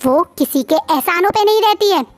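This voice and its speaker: A woman doing a defiant, forceful man. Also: extremely high pitch, animated, normal speed, no echo, voice pushed up. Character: defiant man claiming his family share.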